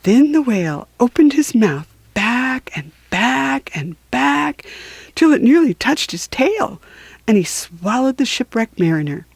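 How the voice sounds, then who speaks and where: A woman reads a children's story at a slow, deliberate pace. Her pitch swoops expressively for theatrical emphasis, and the recording is close and dry. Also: gravelly, a little mellow, breathy edge, no room sound.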